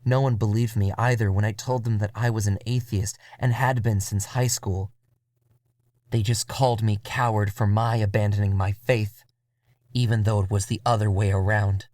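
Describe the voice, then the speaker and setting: A man voicing a bitter, devoted woman vampire in a measured, confessional audio drama monologue. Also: fairly low pitch, fairly even intonation, darkish tone, dry recording, natural voice. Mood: bitter, brooding. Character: bitter, devoted Middle Eastern American woman vampire, quietly menacing. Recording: music behind the voice.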